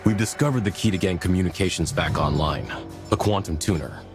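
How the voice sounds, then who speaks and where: Game briefing officer: very low voice, assured, explanatory, music underneath. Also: male voice, a little fast. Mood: composed, businesslike. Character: composed male mission commander giving a briefing. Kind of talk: scripted video game voice acting.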